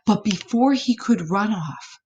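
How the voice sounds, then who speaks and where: A woman narrating a children's story expressively, with dramatic, deliberate pauses. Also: medium pitch, expressive, dull audio, background noise. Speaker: storybook reader.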